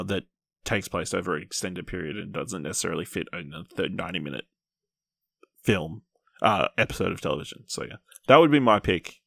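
A man's voice: low voice, creaky, fairly bright tone, no echo, clean studio sound. A man hosting a podcast in casual, informal chat, steering the discussion along. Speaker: podcast host.

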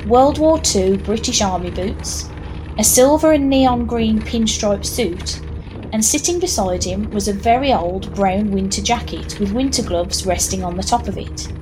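A woman narrating a scripted audio drama in a measured storytelling manner. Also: medium pitch. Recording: clear background noise, ambience.

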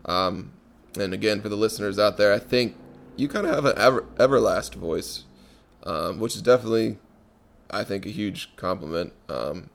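A laid-back man hosting a podcast and chatting easily with his guest. His voice sits fairly low, and he fills his pauses with ums as he talks his way through each question. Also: gravelly. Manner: relaxed, many ums and restarts. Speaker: podcast host. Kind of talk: casual interview chat.

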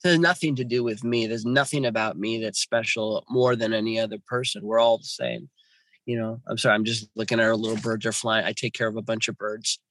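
A man's voice: lowish voice, steady tone, fairly quick, a bit bright, no room sound, poor audio. A man in his fifties from Los Angeles, telling his life story as a podcast guest in a rambling way, with restarts and many fillers. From Los Angeles, California. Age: 50s. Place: home with birds nearby.

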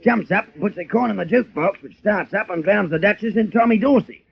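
A scrappy, slangy street tough in an old radio play. His voice is gravelly and a little high. The recording is dull with faint noise. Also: fairly quick. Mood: combative. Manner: slangy, brash. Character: scrappy male street tough, proud boyfriend. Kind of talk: old-time radio drama acting.

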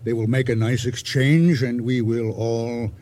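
Man acting a slow-talking, mellow, scheming sea rogue. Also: medium pitch, steady tone, lightly acted. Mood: persuasive, scheming. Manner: slow, deliberate, insinuating delivery with dramatic pauses. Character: boastful, scheming male sea-adventurer turned ship hijacker, silkily persuasive. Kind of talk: American radio drama acting, 1980.

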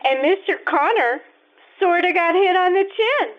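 A woman acting a high, singsong tattling girl. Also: animated, moderate pace. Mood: coy, tattling. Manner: coy, hesitant, storytelling. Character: nosy, tattling young girl, coy and dramatic. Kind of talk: old-time radio acting, live stage performance. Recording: thin, phone-like lo-fi stage recording.

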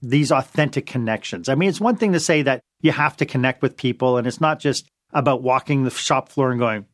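A man's voice: medium pitch, brisk, dry recording, clean studio sound. A man hosting an interview, asking questions and reacting with enthusiasm. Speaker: interview host. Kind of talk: conversational interview.

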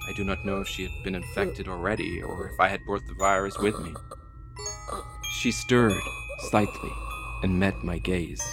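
An actor playing a weary, solemn man who narrates his ordeal slowly and deliberately in an audio drama. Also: sits low, fairly even intonation, gravelly, over music. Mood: solemn relief.

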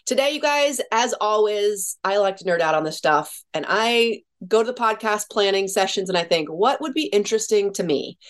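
A woman's voice: mid-range voice, animated, normal speed, few pauses. A middle-aged woman hosting a podcast interview, talking with casual enthusiasm and a stuffy nose from a cold. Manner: enthusiastic, casual, swears freely. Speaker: podcast host and mindset coach.

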